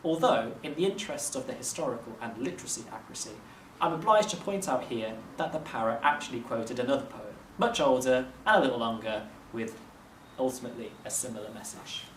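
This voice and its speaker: A man narrating a children's story expressively, like a teacher reading aloud, with measured dramatic phrasing. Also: medium pitch, expressive. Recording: roomy, distant mic, faint noise.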